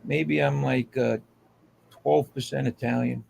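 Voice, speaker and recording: A man's voice: mid-range voice, fairly even intonation, a little slow, gravelly, darkish tone. An American man in casual chat on a live hangout, with a slangy, profane way of talking.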